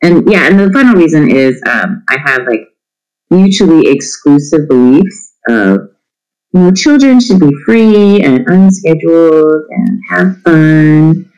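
A man's voice: fairly high pitch, animated, measured pace, a little mellow, poor audio. A middle-aged man, chatting casually as an interview guest in a candid, irreverent, self-deprecating way. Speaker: interview guest, homeschooling parent. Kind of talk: casual chat.

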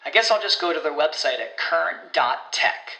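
A woman plays a caller leaving a chatty voicemail in a scripted ad. Her voice is bright and sharp, and it rings in an echoey room. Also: deep voice, lively intonation, normal speed, vocal fry. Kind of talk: scripted ad read.

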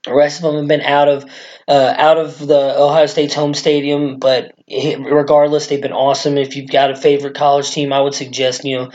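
A man with a hoarse, worn-out voice hosting a casual sports podcast, rambling excitedly as a fan. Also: a little high, a little fast, highs cut off. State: hoarse from screaming. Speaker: podcast host.

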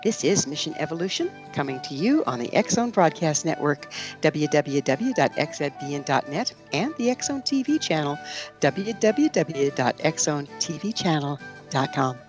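A woman's voice: sits low, expressive, moderate pace, darkish tone, faint music behind. A woman, hosting a radio interview show in a scripted broadcast style.

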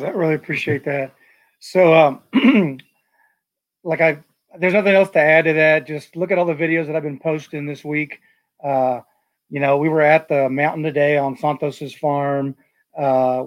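A man's voice: mid-range voice, no room sound, poor audio. Chatty male host, dry close sound. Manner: rambling, many fillers and restarts. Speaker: podcast host. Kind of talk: casual interview chat.

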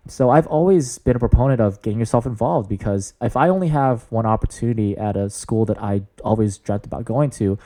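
A man in his thirties with a booming voice, talking earnestly and enthusiastically about student leadership in an interview. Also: fairly quick, hardly any pauses, mellow. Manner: earnest, upbeat, enthusiastic with frequent fillers. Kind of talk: interview answers.

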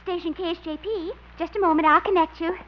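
Girlish, naive American telephone operator, very high chirpy voice, vintage radio comedy, muffled sound. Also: fairly even intonation, fast. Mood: businesslike. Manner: bubbly, gushing. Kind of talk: old-time radio comedy acting. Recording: muffled old radio recording.

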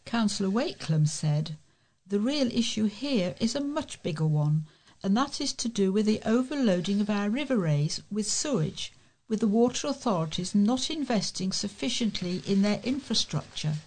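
British woman reading local news, mid-pitched. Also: expressive, normal speed, a little mellow. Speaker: volunteer newsreader.

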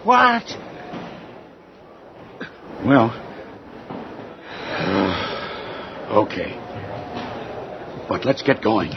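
An actor playing a skeptical, exasperated bus boss in an old radio drama, brusque and emphatic. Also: male voice, on the low side, huge pitch swings, extremely slow, creaky, pauses often, exaggerated voice. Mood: suspicious. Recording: dull, very noisy old radio recording.

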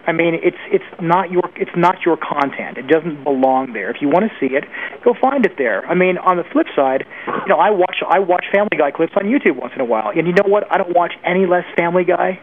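A man's voice: high-pitched, lively intonation, a little fast. A man giving informal, opinionated answers as an interview guest, speaking conversationally and with emphasis. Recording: narrow phone-like line with faint noise.

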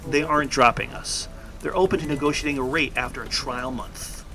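An actor playing a calm, matter-of-fact male office colleague in an audio drama. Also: mid-range voice, a little fast, slight background noise.

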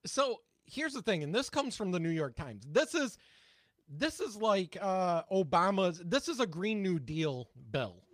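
A man's voice: high-pitched, very animated, unhurried, dry recording. A man co-hosting a casual political podcast, talking bluntly and sarcastically, with some profanity. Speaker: podcast co-host.

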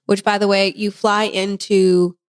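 A talkative woman in her thirties chats on a podcast, laughing easily as she talks. Her voice carries a slight leftover hoarseness. The sound is dry and close, with no room echo. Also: medium pitch, flat delivery, a little slow. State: slightly hoarse, recovering from laryngitis. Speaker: podcast co-host. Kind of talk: casual chat.

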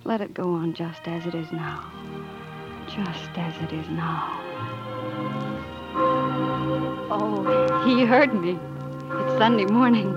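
An actress plays a proud young American working-class woman in a 1940s radio drama, tender but sharp-tongued and emphatic. Her delivery is emotional and plain-spoken, heard through a muffled old broadcast. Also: fairly low pitch, a little slow, loud music behind the voice, lightly acted. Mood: tender, wistful.

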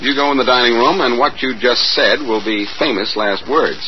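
An actor playing a wisecracking, self-assured amateur detective in 1940s radio drama style, with glib, bantering delivery. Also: male voice, mid-range voice, moderate pace, lightly acted. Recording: muffled, noisy old radio recording.

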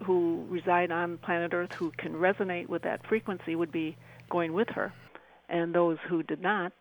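Woman, middle-aged, gravelly creak, flat intonation, halting delivery, interview guest. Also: fairly low pitch, low-quality recording. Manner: halting, often repeating and restarting phrases. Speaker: interview guest recounting personal encounters. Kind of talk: conversational personal account.

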